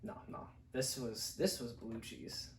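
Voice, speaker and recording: A young man chatting casually through a movie review, halting and rambling, joking and swearing. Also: medium pitch, slight background noise. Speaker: co-host of a movie review show. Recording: roomy, echoey room sound.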